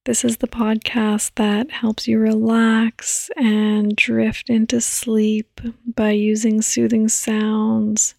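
A woman hosting a guided sleep meditation in a soft, breathy, partly whispered voice, with calm, gentle instructions and long pauses. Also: fairly high pitch, no room sound, clean studio sound. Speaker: podcast host and meditation guide.

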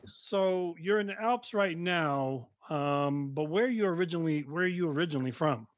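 Podcast host dude, kinda high voice, rambles and restarts a lot, dry close sound. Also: male voice, normal speed, no echo. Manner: rambling, with many restarts, likes and ums. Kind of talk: podcast interview.

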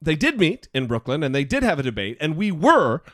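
A man's voice: on the high side, sing-song, brisk, a bit bright, dry recording, studio quality. A man co-hosting a podcast in casual political chat, with a goofy voice and a playful, sarcastic, teasing manner. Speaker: podcast co-host.

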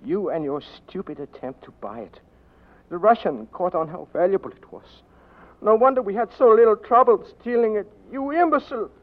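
An actor playing a high-strung, spiteful criminal accomplice with agitated, exclamatory delivery, in 1940s radio drama style. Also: male voice, lively intonation, normal speed. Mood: enraged. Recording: muffled 1940s radio broadcast recording.

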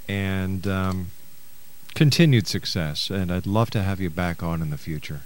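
A man's voice: deep voice, a little slow. A man hosting a radio talk show in a chatty, courteous, slightly hesitant conversational way. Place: radio studio.

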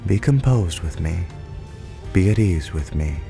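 A man playing a bookish, proper, tender scholar in a radio play, speaking in an earnest, halting way. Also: low voice, lively intonation, creaky, over music, heavily acted.

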